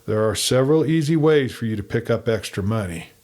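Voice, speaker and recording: A man reading aloud takes on a tough western lawman from a storybook. He is shrewd and unshaken, and gives orders bluntly in a mid-pitched voice. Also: expressive, a little fast, darkish tone, natural voice. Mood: hard, steady. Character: shrewd, hard, steady male lawman in a western.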